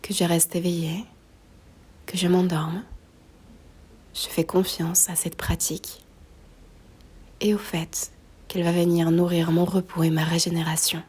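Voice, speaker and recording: A woman guiding a slow meditation in a soft, breathy near-whisper, gently giving unhurried instructions with long pauses. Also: fairly low pitch, flat delivery. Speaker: yoga nidra guide.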